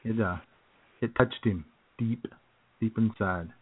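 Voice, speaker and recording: A man's voice: lowish voice, slow pace, husky. A man hosting a live radio show in a casual, chatty style. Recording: muffled lo-fi internet radio.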